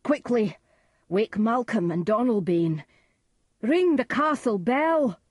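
A woman voicing a horrified lord in a storybook who raises the alarm with urgent, drawn-out cries. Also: on the high side, lively intonation, darkish tone, breathy edge, no echo, studio quality, pitched above the performer's own voice, heavily acted.

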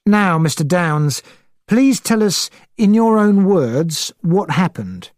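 A man's voice: sits high, sing-song, takes it slowly, darkish tone, no echo, clean studio sound, pitched above the performer's own voice, full character voice. A man voicing a grave, grudging police inspector with a formal, questioning manner in a storybook reading. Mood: formal, polite.

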